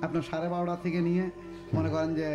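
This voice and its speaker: A man speaks as an interview guest, explaining in an instructive way. His voice is a little high and he talks fast, often restating a point. Music is clearly audible behind him. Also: monotone, lo-fi sound. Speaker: guest folk healer.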